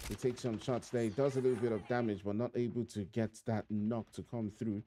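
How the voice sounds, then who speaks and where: A man's voice: lowish voice, fairly even intonation, fairly quick, slight background noise. A man casting a live mobile shooter tournament, talking to viewers in long running phrases with chatty asides. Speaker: esports caster. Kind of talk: live play-by-play commentary. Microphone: home streaming mic. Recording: live stream with loud game gunfire behind. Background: game gunfire and clicks.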